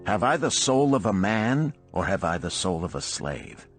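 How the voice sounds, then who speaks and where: A man's voice: on the low side, sing-song, moderate pace, faint music behind, pitched above the performer's own voice. A man voicing an earnest, proud enslaved man in a storybook parable, speaking formally and eagerly. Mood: troubled.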